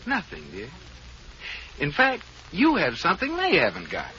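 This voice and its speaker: A man's voice: on the high side, huge pitch swings, moderate pace, pushed and bright, full character voice. An actor playing a young husband in a 1940s radio comedy, wry and teasing with his wife. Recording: dull, hissy old radio transcription.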